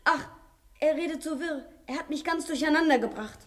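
An actress playing an anxious, fragile daughter in a radio drama, emotional and agitated in manner. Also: female voice, high voice, brisk, a bit bright. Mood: worried, urgent. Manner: agitated, emotional, repeats herself. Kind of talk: German radio drama acting.

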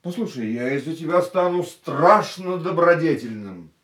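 An actor playing an ardent, reflective racing driver in a radio drama, speaking in an intimate, searching, romantic manner. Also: male voice, fairly high pitch, lively intonation, moderate pace, a bit bright, slightly rough. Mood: animated, reflective. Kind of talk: radio play acting.

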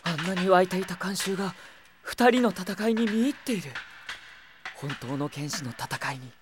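An actor voicing a gentle, earnest young prince in a Japanese audio drama, anxious for friends. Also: medium pitch, expressive, moderate pace, noisy background, close to an everyday voice. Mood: wondering.

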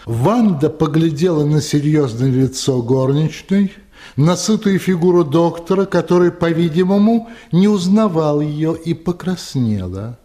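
A man narrates a literary story for radio. His voice is mid-pitched and husky, with animated intonation. He tells it slowly and dramatically.

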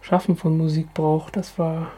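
Dark, thoughtful interviewee. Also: mid-range voice, little pitch movement, unhurried, slightly rough. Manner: thoughtful, hedging. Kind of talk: recalling in an interview.

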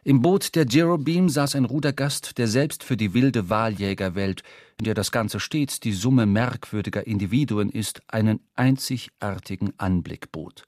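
Actor as a dry-recorded storytelling seafarer. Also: male voice, on the low side, normal speed, a little mellow, dry recording, lightly acted. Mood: measured, descriptive. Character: storytelling whaling crewman narrating the voyage. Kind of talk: scripted literary narration.

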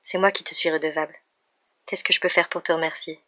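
A storybook narrator voicing a confident, bossy but kind young woman doctor with a brisk, professional manner. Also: medium pitch, steady tone, no room sound, natural voice. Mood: reassuring, teasing. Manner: professional, matter-of-fact, commanding. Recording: dull, muffled lo-fi recording.

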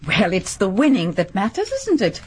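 An actress playing a fussy, genteel middle-aged hostess in a BBC radio drama, gushing and mannered. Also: female voice, mid-range voice, huge pitch swings, normal speed, darkish tone, slight background noise. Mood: gracious. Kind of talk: 1940s-style BBC radio acting.

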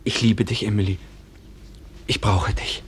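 Earnest young bridegroom played by an actor, faint noise. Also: male voice, lowish voice, little pitch movement, measured pace, lightly acted. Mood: earnest, tender. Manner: earnest, simple. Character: earnest young bridegroom pledging his love. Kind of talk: German radio play acting.

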